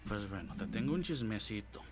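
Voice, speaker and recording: A man in his thirties with a Mexican accent, telling stories as a guest in a casual chat, animated and rambling. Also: lowish voice, brisk. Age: 30s. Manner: animated, rambling, filler-heavy. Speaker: guest, hobby photographer. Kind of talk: casual storytelling.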